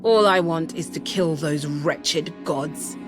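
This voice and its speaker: Low-voiced, solemn warrior woman. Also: takes it slowly. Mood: grim, restrained. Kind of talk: video game cutscene acting. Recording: music behind.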